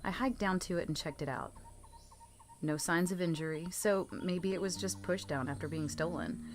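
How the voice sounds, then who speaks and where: A woman's voice: fairly low pitch, moderate pace. A woman reading scripted true scary stories as a storytelling narrator.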